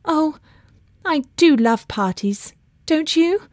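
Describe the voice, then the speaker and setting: A woman voicing an excitable, affectionate well-bred young woman in a storybook, with breezy, exclamatory chatter. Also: fairly high pitch, moderate pace, no echo, clean studio sound. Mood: eager, breathless. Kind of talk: storybook character voice in an audiobook.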